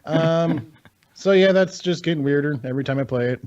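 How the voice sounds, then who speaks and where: A man's voice: on the high side, a little fast, darkish tone, highs cut off. A man chatting casually about video games as a podcast co-host, rambling, swearing freely and sounding incredulous. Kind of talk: casual video game chat.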